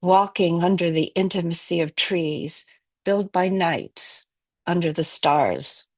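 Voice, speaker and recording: A woman from Wales whose first language is Welsh, giving a poetry reading in a measured, reflective way. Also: on the low side, no room sound, studio quality. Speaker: poet.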